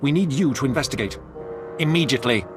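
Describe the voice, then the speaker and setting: An actor playing a stern Imperial army major in a video game, giving clipped, authoritative orders. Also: male voice, mid-range voice, expressive, fast talker, over music, lightly acted. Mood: stern, urgent. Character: stern, commanding male Imperial military major. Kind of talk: video game cutscene acting.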